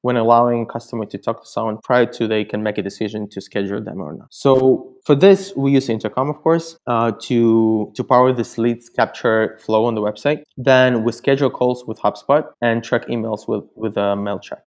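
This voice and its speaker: A man's voice: medium pitch, normal speed, hardly any pauses, a little mellow. Man explaining as an interview guest. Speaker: guest expert from a tech company.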